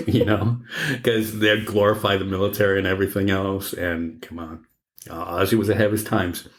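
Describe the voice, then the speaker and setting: Male podcast co-host, very low voice, casual and opinionated with salty language, echoey room sound. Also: little pitch movement, normal speed. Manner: opinionated, profane. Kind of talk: casual chat.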